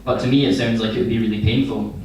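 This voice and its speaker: A young man from Scotland with a Glaswegian accent, doing a stand-up set of deadpan one-liners. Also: on the low side, fast talker. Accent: Glaswegian Scottish. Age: young adult. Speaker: stand-up comedian. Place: comedy venue before an audience. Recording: echoey live room, distant mic, noisy.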